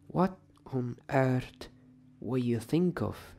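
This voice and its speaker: A man voicing a stern older woman professor in a storybook reading, scolding in a measured way. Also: mid-range voice, expressive, creaky, warm tone, voice pushed up, full character voice. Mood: cold fury.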